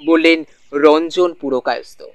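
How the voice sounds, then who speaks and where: A man narrating a literary suspense story in a measured way, with deliberate pauses. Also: fairly high pitch, fairly even intonation, no room sound. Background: soft music.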